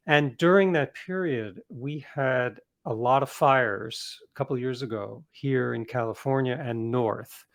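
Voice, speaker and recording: A man's voice: medium pitch, fairly bright tone. A middle-aged man speaking as a guest author in a conversational interview, reflective and given to acting out bits of imagined dialogue.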